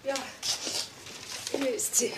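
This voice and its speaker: A woman's voice: slow, recorded from a distance, noisy background, natural voice. A woman playing the cooperative wife of a murder victim in a radio crime play. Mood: subdued, helpful. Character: soft-spoken, cooperative wife of a murdered man.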